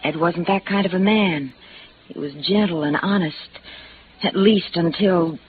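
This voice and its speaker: A woman acting a wistful, bitter secretary in love, earnest and halting, in 1950s radio drama style. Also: lowish voice, close to an everyday voice. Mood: wistful, troubled. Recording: dull, noisy vintage radio recording.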